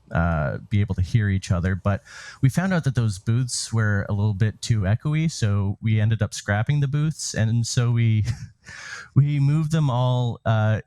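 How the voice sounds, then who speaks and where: A man speaking as an interview guest, answering casually in a halting, informal, hesitant way. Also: lowish voice, dark tone, no echo. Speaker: interview guest, theatre director and writer.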